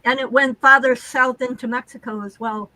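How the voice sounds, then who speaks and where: High, bright-voiced American woman. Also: fairly even intonation, moderate pace, no echo, rough recording. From Massachusetts, United States. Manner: outspoken, emphatic. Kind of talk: casual group chat.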